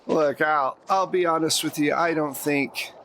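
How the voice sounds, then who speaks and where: A man's voice: a little high, a little slow, a bit bright, a little hiss or hum. A man playing a smug, overconfident armed small-town thug in a tabletop game, speaking dismissively. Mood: smug menace. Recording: music behind the voice.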